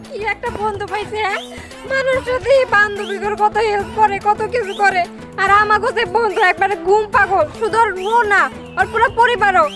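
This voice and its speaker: A woman's voice: very high-pitched, brisk, over loud music. A woman playing an exasperated, nagging young friend in a comic short drama, full of rhetorical questions. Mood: exasperated grumbling. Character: exasperated, scolding young friend of a sleepy family. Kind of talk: acted dialogue in a Bangla comic short drama.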